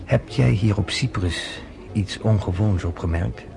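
A worldly journalist speaks calmly and persuasively with a dry wit. His voice sits on the low side. Faint music plays underneath in this radio drama. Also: measured pace, vocal fry. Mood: wry. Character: worldly, wry male journalist. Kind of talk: radio play acting.

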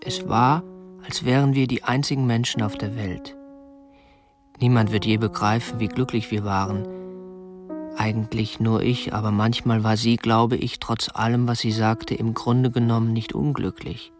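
A man's voice: on the low side, little pitch movement, normal speed, over music, natural voice. An actor playing a meek, stiff kidnapper in his twenties in a radio drama, obsessive and self-justifying, in a plain, subdued manner. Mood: matter-of-fact, self-justifying. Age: 20s. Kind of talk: radio drama acting, confessional first-person narration.

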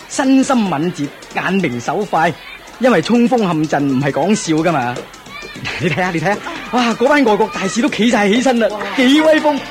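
An actor playing a proud, jovial king in a radio drama, exclaiming and chuckling expansively over music. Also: sits high. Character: proud, jovial king, excited by his prince's feats. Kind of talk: Cantonese radio drama acting. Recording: music under the voice.

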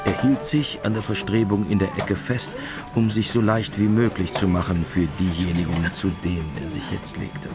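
A male narrator reads a scripted radio drama in a deep voice. Music plays clearly behind his storytelling. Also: fairly even intonation, moderate pace.

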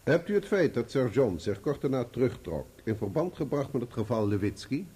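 An actor playing a courteous, methodical lawyer questioning witnesses formally in a radio play. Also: male voice, medium pitch, sing-song, a little fast, mellow, slightly rough. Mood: courteous, probing.

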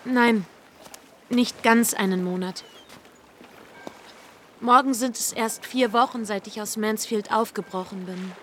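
A woman's voice: fairly high pitch, slow, long pauses, a bit bright, a little hiss or hum. An actress playing a modest, reserved young Regency woman in a radio play, speaking precisely and politely. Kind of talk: period radio drama acting.

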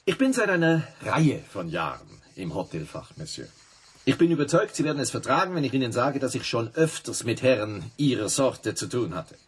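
Radio drama role: sardonic hotel manager, husky mid-pitched voice, formal, condescending, suspicious. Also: male voice, big pitch swings, a little fast. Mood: sardonic suspicion. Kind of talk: radio play dialogue.

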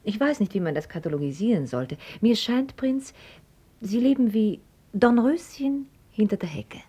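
An actress playing an outspoken young heiress in a radio drama, wry, articulate and playfully self-mocking. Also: female voice, mid-range voice, lively intonation, moderate pace, warm tone, breathy edge, dry recording. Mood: wry, amused. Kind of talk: German radio drama acting.

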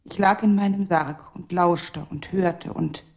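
An actress playing a living female ventriloquist's puppet in a radio crime drama, sorrowful and resolute, confessing solemnly, often in a breathy voice. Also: on the low side, steady tone, unhurried, darkish tone. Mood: quietly accusing.

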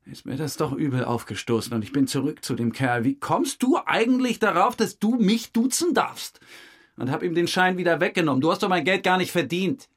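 A man's voice: fairly high pitch, fairly quick, close to an everyday voice. An actor playing a boastful, weary male suspect who rambles through a confession in a naturalistic radio drama. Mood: boastful, agitated. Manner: rambling confessional monologue, acting out remembered exchanges.

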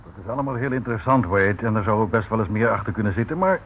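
An actor playing a cautious, firm police chief commissioner in a radio drama, matter-of-fact and authoritative. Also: male voice, lowish voice, lively intonation, rapid-fire. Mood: sceptical. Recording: dull, noisy old radio play recording.

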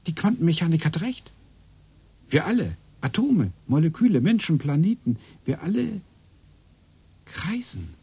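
A brooding computer engineer in a radio play. He mutters to himself in a gravelly voice with a lively, swinging pitch. He grows more and more agitated as he talks. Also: measured pace, long pauses, dry recording, heavily acted.

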